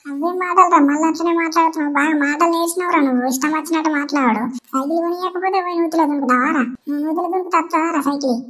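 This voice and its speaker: A woman's voice: very high-pitched, racing, fairly bright tone. A woman voicing a scolding but doting village mother in a comedy cartoon, chiding with a Telangana accent. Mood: nagging. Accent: Telangana Telugu. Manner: nagging, chiding. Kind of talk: Telugu comedy cartoon dialogue.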